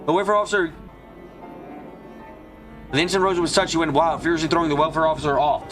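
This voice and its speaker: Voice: medium pitch, fairly even intonation, fast, music in the background. A narrator reading a story in a dramatic, scripted style.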